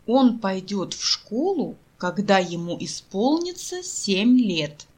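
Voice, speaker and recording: A woman with a medium-pitched, slightly husky voice reads short practice sentences for language learners. She goes extremely slowly, separating phrases with deliberate pauses and pronouncing each one with care, as a teacher would in a drill. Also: big pitch swings, a little mellow.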